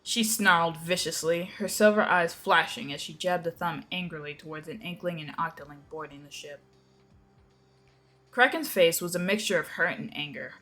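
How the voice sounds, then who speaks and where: A woman's voice: fairly low pitch, moderate pace, pushed and bright. A young woman narrating a dramatic reading of a fan-fiction story. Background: music.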